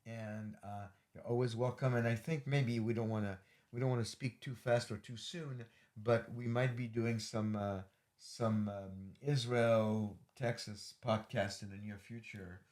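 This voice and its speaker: A man's voice: lowish voice, steady tone, unhurried, darkish tone. Rambling middle-aged male ministry host. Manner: rambling, many fillers, earnest appeals. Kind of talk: podcast interview and sign-off.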